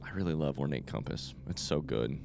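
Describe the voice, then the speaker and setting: A man's voice: extremely low pitch, a little fast, gravelly, over music. A man doing casual video game commentary, thinking aloud in a rambling, informal way, his voice a bit under the weather. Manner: rambling, informal, mild swearing. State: voice affected by being sick. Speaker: video game streamer playing blind.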